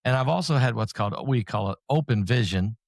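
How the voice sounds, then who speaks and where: A man's voice: mid-range voice, animated, gravelly, dry recording, studio quality. Man host asking rambling questions. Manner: rambling questions, quick bursts of speech. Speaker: podcast host. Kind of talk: casual interview questions.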